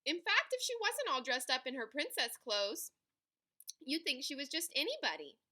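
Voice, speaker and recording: Bright-voiced woman reading a picture book aloud. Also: high voice, normal speed. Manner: animated, dramatic, stretches key words for emphasis. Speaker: read-aloud storyteller.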